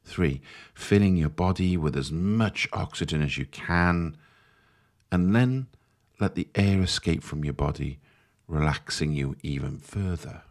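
A man with a low, deep voice leads a guided meditation. He speaks in a measured, soothing way, giving gentle instructions as a guide would.

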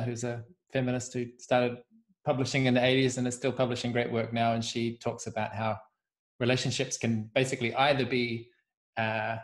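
A man introducing his work on a video call, earnest and explanatory. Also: mid-range voice. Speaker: consultancy co-founder.

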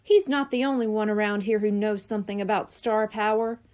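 A woman voicing an excitable, stubborn young boy rabbit from a storybook, eager and boastful. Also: mid-range voice, a little fast, natural voice. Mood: indignant muttering.

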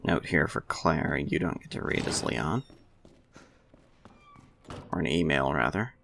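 Very deep, gravelly man commentating gameplay. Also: expressive, a little slow, a bit bright, slight background noise. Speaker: gamer, walkthrough commentator.

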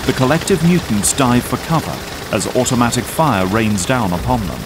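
A man's voice: medium pitch, lively intonation, normal speed, noisy background. A man delivering scripted narration for an audio drama, as its narrator.

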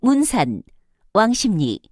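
A woman's voice: mid-range voice, huge pitch swings, takes it slowly, no room sound, studio quality. A woman reading scripted subway announcements in a formal, polite, carefully enunciated way. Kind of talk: scripted public transit announcement.

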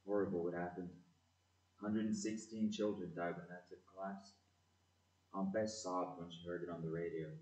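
A man's voice: low voice, level tone, normal speed, a little mellow, natural voice. A man playing a cheeky, teasing fourteen-year-old boy in a community audio play. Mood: sombre. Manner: playful, teasing. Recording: echoey, muffled room recording.

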